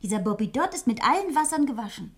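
Affectionate young woman , female actor, 1960 radio play; slightly high, soft, confiding delivery. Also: expressive, fast, natural voice. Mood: anxious. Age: young adult. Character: affectionate young woman, modest, anxious for her sweetheart.

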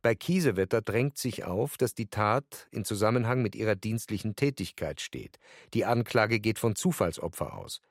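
A man's voice: on the low side, lively intonation, normal speed, no room sound, clean studio sound. An actor playing a sober male courtroom speaker in a documentary radio drama, with formal, factual delivery. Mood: formal, insistent. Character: sober male courtroom witness and lawyer reading testimony.

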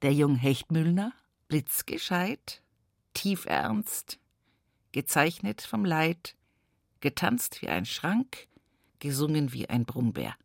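An actress playing a weary, devout country woman in her sixties with an Upper Palatinate Bavarian accent, lamenting haltingly in a radio play monologue. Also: sits low, sing-song, creaky, no room sound, studio quality, exaggerated voice. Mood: wistful. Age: 60s. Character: weary, devout rural daughter nearing seventy, grieving, plain-spoken.

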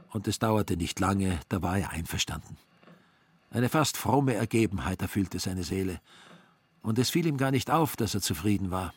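Guy narrating a radio story, lowish n raspy, very dry recording. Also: dry recording. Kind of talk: scripted literary narration for radio drama.

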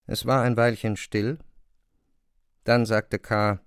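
A man narrating and playing a polite newcomer in a deliberate, formal literary reading. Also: on the low side, little pitch movement, clean tone, dry recording, studio quality. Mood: composed.

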